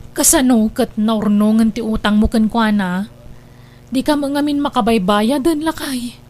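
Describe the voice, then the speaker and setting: A woman's voice: on the high side, moderate pace, darkish tone, close to an everyday voice. A woman playing an insistent, coaxing older sister with schemes in a radio drama. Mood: scheming. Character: pushy, scheming married older sister urging brother abroad. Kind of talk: Ilocano radio drama acting.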